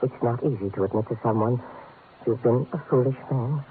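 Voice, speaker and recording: A man's voice: medium pitch, fairly quick, lightly acted. An actor playing an anxious, rueful, mild husband of fifty in an old radio drama, hesitant and apologetic, repeating his words. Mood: nervous, ashamed. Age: 50s. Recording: tinny, noisy old radio broadcast.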